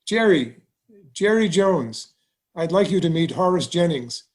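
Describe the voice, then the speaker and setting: Casting: male actor for genial small-town bank president, courteous and measured, slightly high-pitched, table-read delivery. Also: animated, darkish tone. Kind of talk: musical theatre table read.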